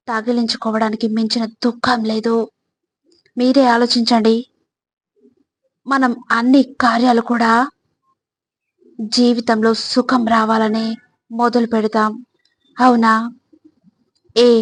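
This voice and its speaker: Lady doing motivational narration, highish voice, really dry close sound, pauses between short phrases. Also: no room sound. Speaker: narrator of motivational spiritual quotes.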